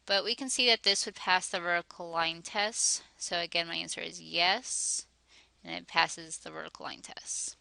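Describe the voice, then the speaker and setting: A woman teaching a math lesson, working through each step aloud, her voice a little hoarse. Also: on the low side, steady tone, moderate pace, bright, forceful tone, dry recording. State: slightly hoarse, losing her voice.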